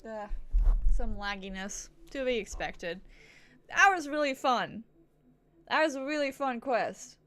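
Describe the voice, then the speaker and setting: High-voiced woman, rambling casual livestreamer. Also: animated, measured pace, long pauses, sharp tone. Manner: rambling, giggly, repeats herself. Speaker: video game streamer.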